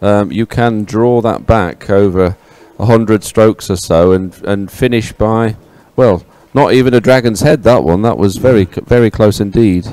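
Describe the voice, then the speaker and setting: A man speaking as a sports co-commentator, with a casual, conversational and somewhat halting delivery. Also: fairly low pitch, darkish tone, no echo. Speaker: sports co-commentator, former team paddler. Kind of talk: live race commentary, conversational.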